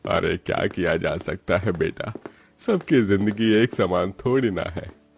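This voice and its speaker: A man's voice: low voice, expressive, unhurried, vocal fry, a little hiss or hum, full character voice. A man playing a humble, weary old laborer and family elder in a cartoon story. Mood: humble, resigned.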